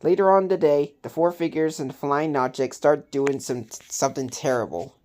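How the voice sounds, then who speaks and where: A woman hosting a reaction video, giving casual commentary in short, halting phrases. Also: low voice, dark tone.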